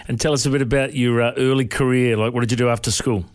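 A man's voice: medium pitch, steady tone, fast talker. A man hosting an interview, chatty and friendly as he leads his guest through questions. His voice sits mid-range and is recorded close and dry, with no echo. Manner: friendly, calls the guest mate. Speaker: host.

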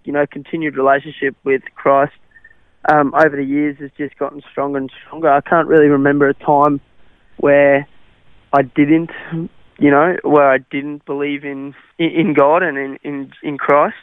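A man's voice: a little high, little pitch movement, moderate pace, smooth, no echo. Young aussie guy, afrikaans first language, umms a lot, dry tinny radio sound. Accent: Australian. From South Africa. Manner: hesitant, many fillers and restarts. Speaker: interview guest, cricketer. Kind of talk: radio interview. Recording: tinny phone-like radio line.

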